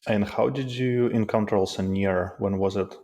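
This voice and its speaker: A man's voice: lowish voice, steady tone, normal speed, mellow. A man hosting a podcast, asking questions in a hesitant way with fillers. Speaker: podcast host. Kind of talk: interview questions.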